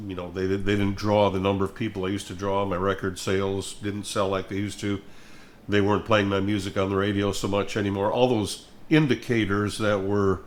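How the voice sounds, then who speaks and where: Older man with a low, thoughtful voice. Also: slight background noise. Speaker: music minister and host. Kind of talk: reflective conversational talk.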